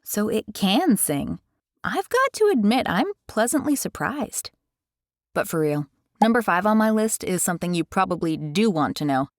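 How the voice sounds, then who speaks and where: A woman's voice: mid-range voice, big pitch swings, moderate pace, no room sound, clean studio sound. An American woman hosting a chatty, curious tutorial from her bedroom. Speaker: tutorial host. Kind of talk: casual explainer. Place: carpeted bedroom. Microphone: headset mic.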